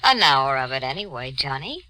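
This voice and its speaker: An actress playing a cool, murderous femme fatale in 1940s radio noir style, coaxing and insinuating. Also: female voice, rumbling low, very animated, normal speed, pushed and bright, exaggerated voice, low-quality recording. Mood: sultry, inviting. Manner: coaxing, insinuating, coolly matter-of-fact. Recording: old 1940s radio recording.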